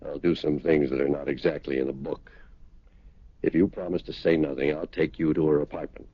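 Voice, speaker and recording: Police lieutenant: very low husky voice, measured, persistent, radio drama, dry sound. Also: male voice, flat delivery, no room sound. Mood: quietly matter-of-fact. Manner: measured, insistent, matter-of-fact. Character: persistent, grave male police lieutenant breaking bad news. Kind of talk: 1970s radio drama acting. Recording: dull vintage radio recording.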